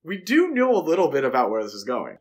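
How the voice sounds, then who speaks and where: A man's voice: mid-range voice, wildly swooping pitch, quick pace. A man co-hosting a podcast, chatting casually and speculatively about films. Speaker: podcast co-host. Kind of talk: casual film discussion podcast.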